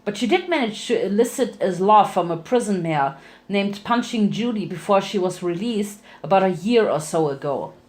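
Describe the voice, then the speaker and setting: A woman's voice: medium pitch, animated, normal speed, a little mellow. A woman reads a story aloud as narrator, in a mid-range voice. Her delivery is dramatic and playful, with a theatrical touch suited to fan fiction.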